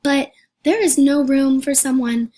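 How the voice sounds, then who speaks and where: A hoarse young woman giving a recorded class presentation, talking hesitantly with many fillers and restarts. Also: high voice, a little slow, warm tone. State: hoarse, losing her voice. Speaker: student presenter.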